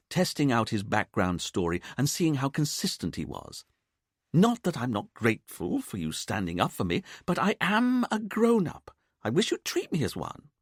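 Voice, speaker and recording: A man doing a free-spirited mother who swoops up high and talks with a breezy, defiant bounce. The voice sits far above his own and bounces widely, with a dry, close sound and no room around it. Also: husky, no room sound, full character voice. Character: warm-voiced middle-aged woman, free-spirited mother.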